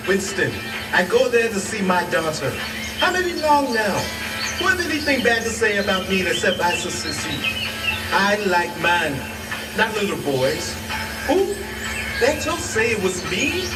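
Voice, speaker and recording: High, animated hot-tempered gardener. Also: male voice, measured pace. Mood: indignant, alarmed. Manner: dialect-flavoured speech sprinkled with Spanish. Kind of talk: radio drama acting. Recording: roomy, distant, loud music behind.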